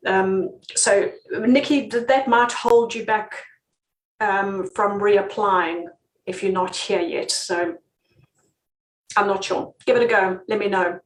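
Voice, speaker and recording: Chatty British woman in an echoey room. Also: mid-range voice. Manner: chatty, many ums, laughs easily. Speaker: relocation consultant. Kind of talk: live-stream advice reading viewer comments.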